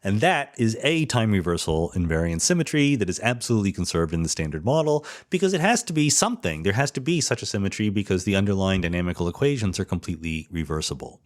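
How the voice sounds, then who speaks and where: A man's voice: low voice, very animated, quick pace, hardly any pauses. A man hosting a podcast, answering listener questions in an informal, explanatory way and correcting himself as he goes. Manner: informal, didactic, self-correcting. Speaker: podcast host.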